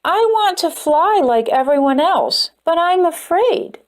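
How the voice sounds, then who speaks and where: A woman's voice: very high voice, animated, unhurried. Woman voicing a timid little caterpillar boy. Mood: fearful. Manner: worried, hesitant. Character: timid young male caterpillar, fearful.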